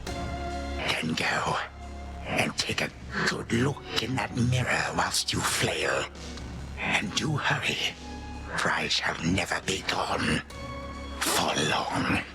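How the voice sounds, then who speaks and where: Slow, imperious old god villain. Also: male voice, deep voice, animated, in a whisper, over music, exaggerated voice. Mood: bitter, taunting. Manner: slow, grandiose, archaic phrasing, sneering condescension. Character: imperious, menacing old male Titan god of time. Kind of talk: dramatic video game villain acting.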